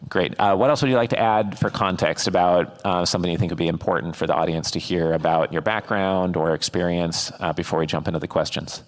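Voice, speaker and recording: Deep voiced host guy asking questions, slow with lots of ums, reverby. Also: fairly even intonation. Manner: deliberate, with frequent ums and uhs. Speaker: interviewer, host. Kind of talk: interview questions.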